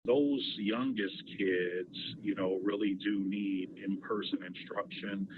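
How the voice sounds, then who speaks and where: Tinny, noisy line, low-voiced American man. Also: steady tone, takes it slowly. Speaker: school official giving remarks. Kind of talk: spontaneous explanation in a meeting. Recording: muffled phone-like call, clear noise.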